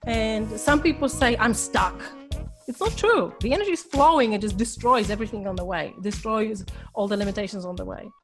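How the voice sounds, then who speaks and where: A woman's voice: mid-range voice, lively intonation, brisk, faint music behind. A woman with a Polish accent, a language coach giving a hesitant motivational talk with many ums and restarts to her course members. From Poland.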